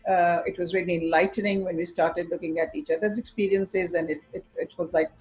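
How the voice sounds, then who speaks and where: A lower-voiced woman from Pakistan, for whom English is a second language, reflects on her teaching career into a desk webcam in a book-lined office. She talks in a measured, thoughtful way, pausing on "uh" and "um" and restarting her phrases. Faint music plays behind her. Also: fairly even intonation, darkish tone, rough recording. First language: not English. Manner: thoughtful, many fillers and restarts. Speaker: teacher educator. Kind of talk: reflective talk to camera. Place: office with bookshelves, indoors.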